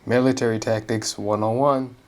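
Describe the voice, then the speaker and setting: A man's voice: mid-range voice, little pitch movement, normal speed, darkish tone. A man giving informal, wry gameplay commentary in casual slang as he reacts to a story. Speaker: gaming streamer reacting to a story quest.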